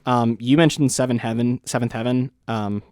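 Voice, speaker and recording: A man co-hosting a music review show in a college radio studio, speaking at a middle pitch for a man. He rambles, restarts his sentences and swears offhandedly. The sound is close and dry, with no room echo. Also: fairly even intonation, moderate pace, a little mellow. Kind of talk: casual music review chat.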